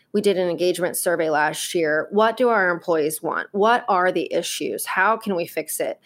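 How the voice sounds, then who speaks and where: A woman guest in a casual interview, speaking directly and candidly in quick bursts, often saying "like" and "you know". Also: mid-range voice. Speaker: guest, operations executive.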